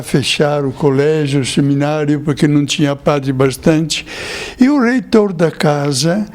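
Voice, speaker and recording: An older Italian-born priest, a man, telling his memories slowly in an interview, with small chuckles. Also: on the high side, darkish tone. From Italy. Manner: slow storytelling with chuckles.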